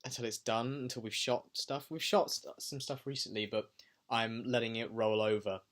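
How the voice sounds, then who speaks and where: A man's voice: medium pitch, steady tone, moderate pace, a bit bright. A young adult man talking reflectively in a personal video diary, pensive and hesitant as he thinks aloud. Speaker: vlogger and amateur filmmaker.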